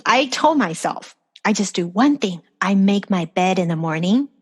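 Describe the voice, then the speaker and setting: A woman's voice: mid-range voice, lively intonation, moderate pace, slightly rough. A woman life coach speaking as a guest over a remote call, upbeat and encouraging, often ending her points with "right?". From far from the host's country. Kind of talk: casual interview chat.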